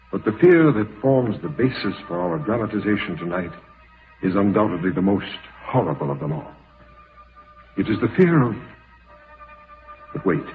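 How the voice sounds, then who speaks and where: A man hosting and narrating an old-time radio horror tale in a solemn, formal, theatrical manner. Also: low-pitched. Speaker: host and narrator. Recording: muffled, narrow-band vintage radio recording.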